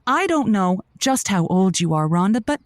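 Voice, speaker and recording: Animated, breezy woman radio host played by an actress. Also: mid-range voice, moderate pace, no echo, clean studio sound, lightly acted. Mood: breezy, encouraging. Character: breezy, supportive young female radio advice host. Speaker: radio advice show host. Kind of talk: audio drama acting as a radio host.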